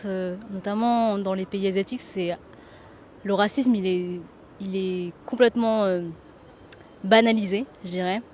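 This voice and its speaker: A woman's voice: medium pitch, fairly even intonation, a little slow, a little mellow, a little hiss or hum. Earnest woman answering on the street. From France. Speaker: passer-by.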